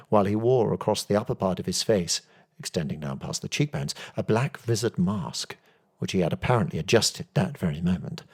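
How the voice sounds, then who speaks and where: A man's voice: on the low side, husky. A man reading literary fiction aloud as a scripted audiobook narration. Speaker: audiobook reader.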